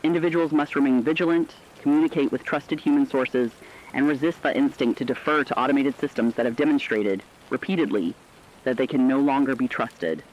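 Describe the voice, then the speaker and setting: A man's voice: medium pitch, lightly acted. Dull lo-fi man as a sober radio correspondent. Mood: grave, insistent. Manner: sober, formal, measured. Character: serious radio correspondent reporting a humanitarian crisis. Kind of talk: scripted emergency news report.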